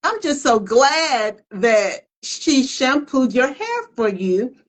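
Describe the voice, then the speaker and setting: A woman's voice: sits high, big pitch swings, slow. Teasing woman co-host on a video call. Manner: playful teasing with stammered restarts. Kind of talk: casual livestream chat.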